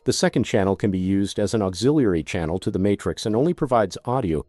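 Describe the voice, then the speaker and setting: A man's voice: lowish voice, animated, fast, warm tone, dry recording, clean studio sound, soft background music. A man narrating a scripted product tutorial as a demonstrator, giving methodical step-by-step instructions.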